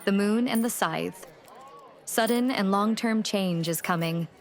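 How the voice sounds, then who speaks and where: A cryptic elf woman reading runes, voiced by an actress. She speaks at a medium pitch in a portentous, probing way, as in a fantasy audio drama. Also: unhurried, a faint crowd, close to an everyday voice. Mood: ominous, probing. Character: female elf rune-reader, cryptic, probing fortune teller.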